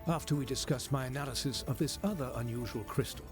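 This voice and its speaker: A calm, dry-witted android from a video game, played by a man. He speaks in a mid-pitched voice with formal, exact wording and a deadpan air. Music is clearly heard behind him. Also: quick pace, close to an everyday voice. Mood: dryly formal. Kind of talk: video game cutscene acting.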